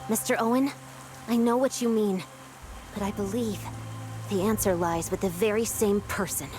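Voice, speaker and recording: An actress playing a stern, composed noblewoman in authority in a video game, formal, measured and commanding. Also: mid-range voice, fairly even intonation, a little mellow, natural voice. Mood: stern questioning. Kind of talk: dramatic video game voice acting. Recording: faint music behind the voice.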